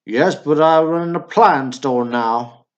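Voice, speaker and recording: A man's voice: fairly high pitch, takes it slowly. Guy doing a touchy little store owner, earnest n stiff, dull lo-fi radio drama audio. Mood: stiff, defensive. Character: meek, touchy small-town appliance store owner, easily offended. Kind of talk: amateur radio drama acting.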